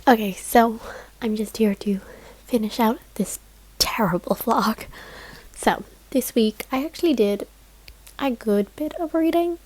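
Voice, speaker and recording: A woman's voice: a little high, unhurried, low-quality recording. A young woman vlogging casually about her week of reading, rambling with plenty of fillers and small laughs. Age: young adult. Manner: chatty, rambling, lots of "like" fillers, soft laughs. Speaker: book vlogger. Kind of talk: casual reading vlog chat.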